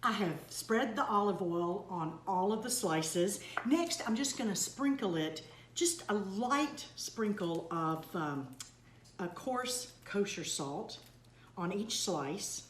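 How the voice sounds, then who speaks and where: A woman's voice: medium pitch, animated, takes it slowly. An older woman, hosting a casual cooking demonstration in a large home kitchen and chatting homily while she works. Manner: chatty, homey, talks while working. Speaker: cooking segment host. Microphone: fixed camera across the kitchen counter. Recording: roomy kitchen with faint hum.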